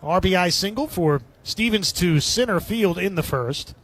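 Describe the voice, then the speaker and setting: Male play-by-play announcer for radio baseball, high-pitched and husky in tone. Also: lively intonation, normal speed, a bit bright, rough recording. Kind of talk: live radio sports commentary.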